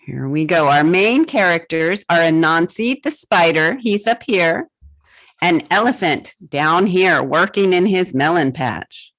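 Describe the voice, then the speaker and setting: A woman's voice: on the low side, animated, a little slow, a bit bright. A woman reading stories aloud to young children on a video call, in simple, encouraging phrases. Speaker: children's storytime reader. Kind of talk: animated read-aloud storytelling to children.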